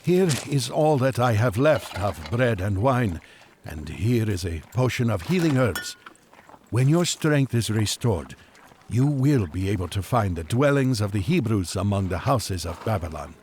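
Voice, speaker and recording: An actor playing an earnest, devout Magian traveler on an urgent quest, speaking in solemn, formal, old-fashioned language for an audio drama. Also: male voice, on the low side, very animated, unhurried, darkish tone, slight background noise.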